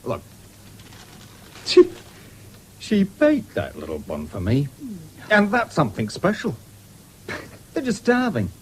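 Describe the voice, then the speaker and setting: Earnest farm lad, swooping intonation. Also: male voice, a little high, wildly swooping pitch, takes it slowly, pauses often, heavily acted. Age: young adult. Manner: hesitant, stumbling over words. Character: earnest young farm lad, halting but determined. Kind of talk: 1970s British radio drama acting. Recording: noisy old radio broadcast recording.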